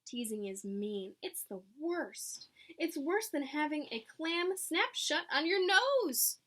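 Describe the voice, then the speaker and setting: A woman reading a picture book aloud to children like a teacher, animated and explanatory, acting out lines and adding asides. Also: high-pitched, slow pace.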